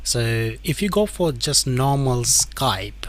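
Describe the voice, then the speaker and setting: A man's voice: medium pitch, sing-song, takes it slowly. A man hosting an online tech conference on a video call, chatting casually with frequent "like, you know" fillers. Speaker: event host.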